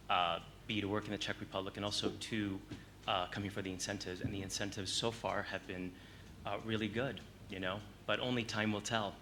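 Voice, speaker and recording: A man's voice: fairly low pitch, sharp tone. A man speaking as a film producer at a press conference, hesitant and jokey in his answers. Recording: noisy room ambience.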